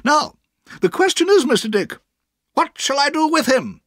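A stern old aunt with a high, cross, commanding voice. Her lines leap and swoop, and the storybook reading is dry and close. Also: sing-song, moderate pace, fairly bright tone, no room sound, heavily acted. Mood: firm. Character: strict, brisk grey-haired elderly aunt.

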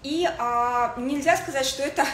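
A woman in her thirties giving a casual livestream style lesson as a stylist, speaking haltingly with searching pauses. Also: sits high, fairly bright tone. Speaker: fashion stylist hosting a livestream. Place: indoors in a home living room with a desk. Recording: echoey room with faint noise.

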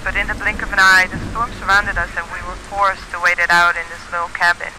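A woman's voice: on the low side, flat delivery, quick pace, background noise. A woman narrating a cycling trip voice-over in a dry, playful storytelling manner. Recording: voice-over with loud music.

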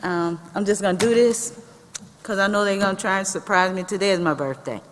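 A woman leading a chapel service, addressing the congregation with deliberate, measured phrasing. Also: medium pitch, very noisy. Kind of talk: addressing a congregation, welcome and prayer. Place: large indoor chapel with brick walls. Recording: echoey hall, picked up from the audience.

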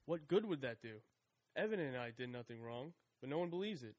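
A man's voice: medium pitch, animated, fairly quick, a little mellow, no room sound, close to an everyday voice. An actor playing a wary, sullen young man hiding from the police. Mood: guarded. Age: young adult. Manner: guarded, sullen.

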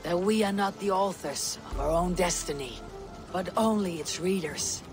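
Actress as stoic Viking warrior, female, grave, measured delivery, game cutscene, background music. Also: lowish voice, lightly acted. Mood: solemn storytelling. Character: stoic Viking warrior woman, weathered and solemn. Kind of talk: dramatic game cutscene acting, storytelling.

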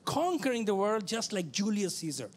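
A man from Bangladesh doing a stand-up comedy set on a club stage into a handheld mic, animated and full of put-on voices. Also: high voice, moderate pace, breathy edge.

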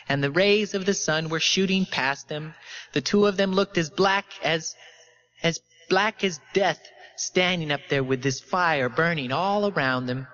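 An unstable schoolboy witness, acted by a man narrating a novel, speaks with lifted pitch and waggish energy. He sounds falsely confident and eerily bright. The recording is a little dull. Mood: eager, confident. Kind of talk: audiobook character reading.

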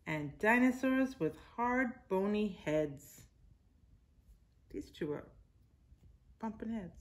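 A woman's voice: mid-range voice, lively intonation, slow. A woman in her forties reading aloud like a teacher at children's storytime, stressing key words and adding little asides to the listeners. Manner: simple phrases with emphasized words and asides to children. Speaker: teacher reading a picture book to young children. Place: living room at home, indoors.

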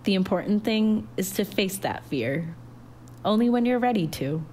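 A woman voicing a loving, gentle mother who speaks tenderly and encouragingly in a narrated story. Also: medium pitch, expressive, normal speed, a little hiss or hum, natural voice. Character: gentle, loving, reassuring mother of a growing girl.

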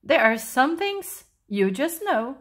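A woman's voice: sits high, sing-song, slow, fairly bright tone, voice pushed up, exaggerated voice. A woman voicing a smug, assured know-it-all female frog in a storybook. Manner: assured, matter-of-fact.

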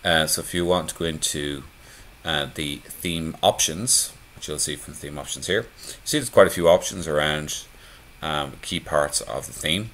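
Very deep-voiced man talking through a screencast. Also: moderate pace. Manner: relaxed, conversational, many fillers. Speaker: theme tutorial presenter. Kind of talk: screencast walkthrough.

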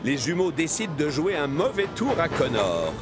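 A man's voice: on the high side, sing-song, moderate pace. A man narrating a children's cartoon like a storybook. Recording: loud background music.

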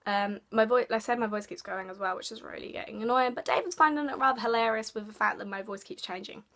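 Young woman vlogger, hoarse from a sore throat, slightly high voice, chatty and apologetic, webcam at home. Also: fast talker, bright, forceful tone. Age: young adult. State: hoarse, losing her voice. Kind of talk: casual vlog chat to camera. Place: bedroom at home, indoors.

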